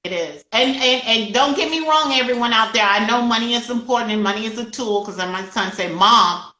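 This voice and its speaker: A woman's voice: on the high side, brisk, bright, forceful tone. A middle-aged woman hosting a casual interview, affirming and agreeing often as she talks. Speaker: host. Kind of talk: casual supportive interview chat. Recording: roomy, echoey sound.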